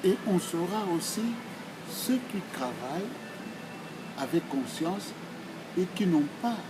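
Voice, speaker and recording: A man in his sixties with a Congolese accent, giving formal answers in a deliberate, emphatic, oratorical way, phrase by phrase. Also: high-pitched, lively intonation, heavy background noise. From Democratic Republic of the Congo. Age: 60s. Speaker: speaker answering questions.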